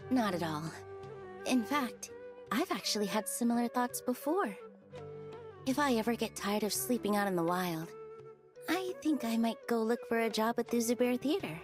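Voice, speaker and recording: A woman voicing a modest, gentle desert mercenary in a video game, earnest and self-effacing. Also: on the high side, natural voice. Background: background music.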